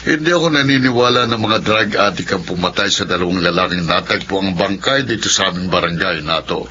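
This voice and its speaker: Muffled radio drama audio. a grave, authoritative middle-aged Filipino village chief with a deep, forceful voice. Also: male voice, brisk. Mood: grave, troubled. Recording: muffled audio, faint noise.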